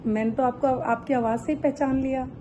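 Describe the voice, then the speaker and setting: A woman's voice: sits high, fairly even intonation, brisk, noisy background, lightly acted. A woman playing an aggrieved, guilt-tripping shopkeeper on a prank call, plaintive, insistent and a little hesitant. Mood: polite, insistent. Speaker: prank caller. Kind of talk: improvised prank phone call.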